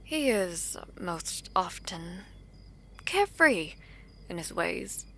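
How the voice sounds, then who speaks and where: Woman as a regal imperial sister, guarded, formal, super slow and deliberate, faint noise behind. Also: lowish voice, sing-song, gravelly, sharp tone, exaggerated voice. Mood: wary, probing. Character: guarded, regal imperial sibling, protective of a younger brother. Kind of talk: slow, formal audio drama acting.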